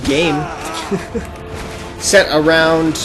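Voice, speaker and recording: A man's voice: a little high, steady tone, extremely slow, over music. A man co-hosting a podcast, chatting casually and a bit ramblingly about gaming news while playing a video game. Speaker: podcast co-host. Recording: noisy, with game sounds behind. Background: video game audio.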